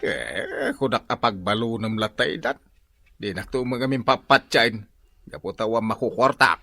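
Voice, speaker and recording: Man acting a sharp, lively grumbling husband. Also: lowish voice, unhurried, creaky, dry recording, exaggerated voice. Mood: dismissive. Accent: Ilocano. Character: grumbling, money-minded husband. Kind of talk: Ilocano radio drama acting.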